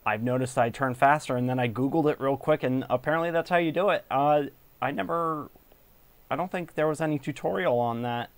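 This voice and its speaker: A man's voice: medium pitch, a little fast. A man giving casual gameplay commentary, muttering reactions to himself as he plays. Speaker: gamer doing a playthrough. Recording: game music behind the voice. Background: video game music and vehicle sounds.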